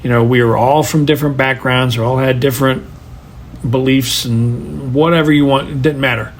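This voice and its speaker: A man with a mid-range voice records a casual personal message over faint background noise. He rambles a little, halting and repeating words as he recollects. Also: medium pitch, fairly even intonation. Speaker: fan contributing a voice message.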